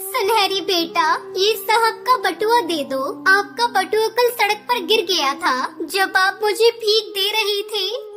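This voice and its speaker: A woman voicing a gentle, honest blind beggar mother bird in a cartoon, speaking earnestly and pleadingly. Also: very high-pitched, slow, full character voice. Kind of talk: Hindi cartoon story dialogue. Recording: music behind the voice.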